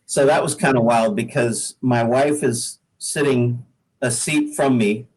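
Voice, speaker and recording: A man's voice: medium pitch, fairly even intonation, unhurried, darkish tone, slightly rough, rough recording. An American man, a singer-songwriter guest, telling casual, rambling, self-deprecating stories in an interview. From Pacific Northwest, United States.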